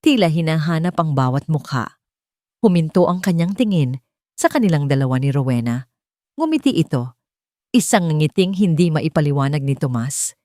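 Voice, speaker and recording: A woman reading scripted dramatic narration as a storyteller, in a measured, deliberate manner. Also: deep voice, lively intonation, warm tone, no echo, studio quality.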